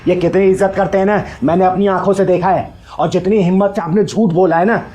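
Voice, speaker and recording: Man acting bold kinnar elder sister, high-pitched. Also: fairly even intonation, fairly quick, dark tone, close to an everyday voice. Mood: righteous scolding. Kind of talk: Hindi moral short-film acting.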